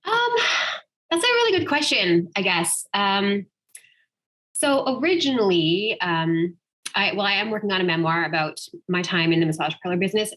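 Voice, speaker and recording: A woman's voice: mid-range voice, a bit bright, rough recording. A woman speaking informally and thoughtfully as a guest writer answering questions, hesitating as she goes. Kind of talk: casual question-and-answer at an online literary event.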